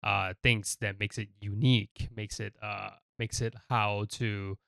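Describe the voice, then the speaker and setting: A man's voice: on the low side, little pitch movement, measured pace, creaky, fairly bright tone, smooth, no room sound, clean studio sound. A man from Malaysia hosting a casual podcast, explaining things in a rambling way and often checking in with "right?" and "you know". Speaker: podcast host.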